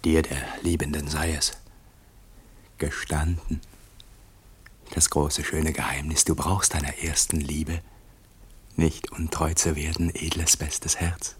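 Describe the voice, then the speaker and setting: An actor playing a solemn scarecrow come to life as an adoring bridegroom, declaiming in a hushed, grandiose way in a German radio drama. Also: male voice, a bass voice, level tone, slow, pauses often, slightly rough, heavily acted. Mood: solemn, confessing.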